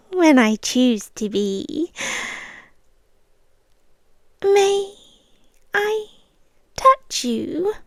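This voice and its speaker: Slow, breathy British vampire girlfriend played by a woman. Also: extremely high pitch, dry recording, studio quality, full character voice. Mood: tender, predatory. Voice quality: breathy, often dropping into whispers. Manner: slow, intimate, lingering delivery. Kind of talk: ASMR roleplay.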